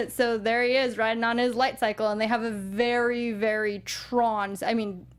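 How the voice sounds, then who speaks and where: A woman's voice: a little high, steady tone, moderate pace, clean tone. A woman co-hosting a casual movie review show, chatty with lots of fillers and laughter. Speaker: podcast co-host.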